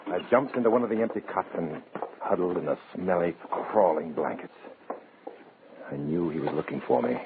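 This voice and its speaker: Through a crackly, narrow old radio recording comes a hard-boiled undercover agent with a very deep voice. He tells his own story in clipped, tense phrases, in the dramatic manner of 1950s radio suspense. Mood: anxious, suspenseful. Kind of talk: 1950s radio drama acting, first-person suspense narration.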